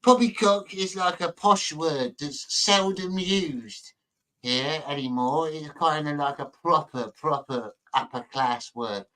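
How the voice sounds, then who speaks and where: A man with a bright, forceful voice, pitched a little high, speaks in a northern English accent mixed with London. He hosts a casual livestream chat, blunt and slangy, often repeating phrases as he answers viewers. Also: takes it slowly, rough recording. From Manchester, northern England, later lived in London. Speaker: livestream host chatting with viewers. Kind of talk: casual chat, reading and answering live comments.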